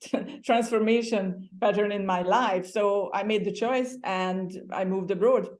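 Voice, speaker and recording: A woman's voice: mid-range voice, steady tone, unhurried, a little mellow. Chatty Hungarian-accented woman. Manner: chatty, laughs often, some restarts and slips. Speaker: guest. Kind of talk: casual interview chat.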